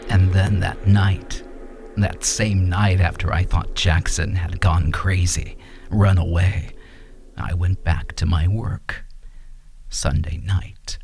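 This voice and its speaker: An actor playing a cold, selfish, middle-aged scientist husband in an impatient, condescending manner in an old-time radio horror drama. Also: male voice, sits low, fairly even intonation, slow pace, a little mellow, soft background music. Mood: grim narrating.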